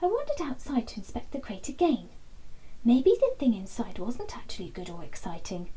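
A woman in her thirties reading a children's bedtime story expressively, with dramatic emphasis and hushed passages. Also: medium pitch, husky, rough recording. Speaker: storybook reader. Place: small indoor nook lined with soft toys.

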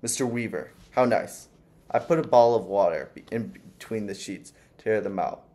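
A man's voice: lowish voice, moderate pace, mellow, natural voice. A man voicing a gushing, fussy older landlady who is sweetly sinister, with a doting, sugary manner.

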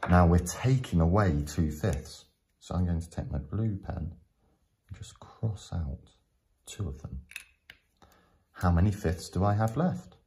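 Male tutor for step-by-step lesson narration: very low pitch, gravelly creak, patient explanatory delivery. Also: expressive, dark tone, slight background noise. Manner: patient, explanatory, with frequent pauses. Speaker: teacher.

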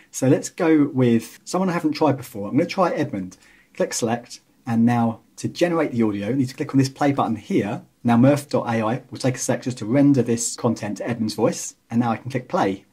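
Male tutorial host, 30s. Very husky tone, mid pitch, relaxed step-by-step explaining. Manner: casual, explanatory. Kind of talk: screen-recorded software tutorial narration.

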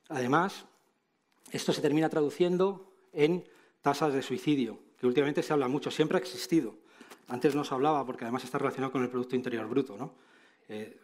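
Conversational Castilian Spanish man presenting on stage. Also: mid-range voice. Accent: Castilian Spanish from Madrid. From Spain. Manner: conversational, frequent tag questions, wry asides. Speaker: conference speaker, clinician. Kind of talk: conference presentation, informal. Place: large auditorium stage, indoors.

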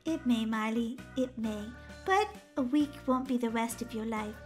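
A woman's voice: fairly high pitch, normal speed, soft background music, lightly acted. A woman voicing a firm, exasperated mother who chides her children in a kids' game roleplay.

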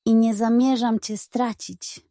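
Tough dog police commissioner for a children's audio tale, performed by a woman: commanding, growly delivery, lifted pitch, dry sound. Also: level tone, measured pace, dark tone, no room sound. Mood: grim, resolute.

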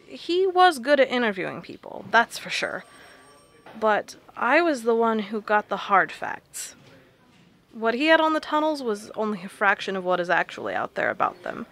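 An actress playing a blunt, stubborn researcher in an audio drama. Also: female voice, fairly high pitch, very animated, normal speed, a bit bright. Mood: firm, defiant. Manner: blunt, firm. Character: blunt, stubborn woman researcher, fed up and resolute.

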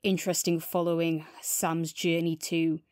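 A woman's voice: low-pitched, flat delivery, a little slow, darkish tone, no room sound, studio quality. A woman casually discussing a review as a podcast co-host.

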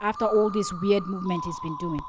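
A woman's voice: mid-range voice, steady tone, fairly quick, noisy background. A young woman recounting a story in a voice-over, earnest and checking in with the listener as she talks. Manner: earnest, repeats "okay?" to check listener follows. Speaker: fitness vlogger.

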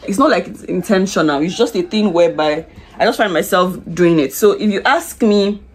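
A woman's voice: fairly low pitch, moderate pace, slightly rough. A woman, chatting confidingly to the camera while doing her makeup in a small room. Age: 20s. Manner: chatty, confiding, lots of restarts and "like". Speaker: beauty vlogger. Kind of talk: casual get-ready-with-me chat. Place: small indoor room with curtains. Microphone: camera in front at close range.

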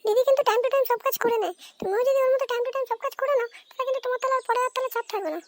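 Nagging mother for a cartoon, played by a woman: very high pitched-up voice, brisk, repetitive scolding. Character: nagging mother of two schoolchildren. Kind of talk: amateur cartoon dialogue.

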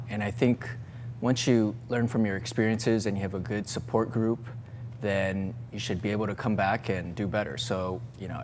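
A man's voice: on the low side, big-room sound. A man in his thirties with an American accent, answering questions casually as a talk show guest. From United States. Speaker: interview guest, night market founder. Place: TV talk show studio set with sofas. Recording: faint background noise.

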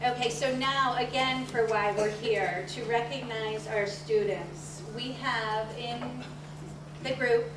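Woman announcing speakers, slightly high. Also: fairly even intonation, slow pace, crowd noise. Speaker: program speaker. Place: community room, at a podium. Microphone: podium mic, far camera. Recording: echoey room from far off, noise.

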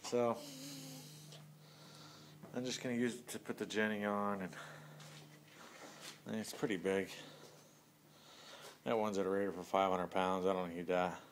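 A man's voice: low-pitched, steady tone, unhurried, long pauses, a little hiss or hum. A man talking casually and plainly, with lots of fillers, while he walks through assembling a cargo carrier. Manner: plain-spoken, rambling, many fillers. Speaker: product reviewer. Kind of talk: casual product review vlog. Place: outdoors by a van on pavement.

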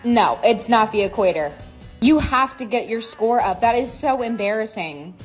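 A woman's voice: a little high, lively intonation, moderate pace, faint music behind, lightly acted. A woman acting confident, blunt female characters in a scripted comedy skit. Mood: scolding.

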